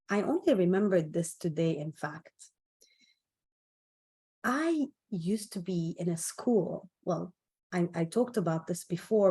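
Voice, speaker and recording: A woman's voice: lowish voice, mellow. The woman you would hear leading a self-help session, unhurriedly sharing her own stories and leaning on key phrases so they land. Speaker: motivational speaker. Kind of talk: personal storytelling talk on self-esteem.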